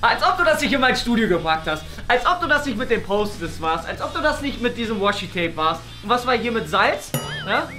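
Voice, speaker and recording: A man's voice: extremely high pitch, very animated, fairly quick, sharp tone, soft background music. A man in his thirties chatting casually and teasingly as co-host of a prank vlog.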